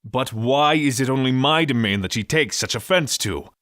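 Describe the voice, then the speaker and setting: An actor playing a proud, easily offended inventor god in a fantasy audio drama, huffy and defensive. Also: male voice, mid-range voice, moderate pace, fairly bright tone, dry recording, studio quality, natural voice.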